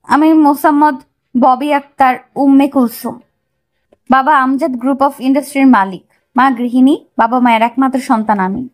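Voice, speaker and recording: A woman's voice: sits high, fairly even intonation, normal speed, natural voice. A woman playing a shy but feisty young bride in an audio story, bashful and protesting.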